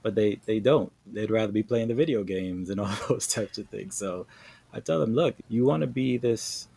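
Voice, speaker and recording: A man from New York with a somewhat low voice talks easily as an interview guest. He is sincere and unhurried, stumbling over repeated words and tagging his points with quick check-ins. His delivery stays conversational rather than polished. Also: vocal fry, slightly rough. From New York, USA. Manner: relaxed and earnest, with repeated words and frequent "right?" tags. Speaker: podcast guest.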